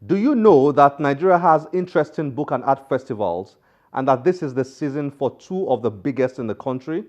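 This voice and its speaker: A man's voice: medium pitch, animated, fairly quick, darkish tone, highs cut off. A man presenting a book programme with scripted television narration.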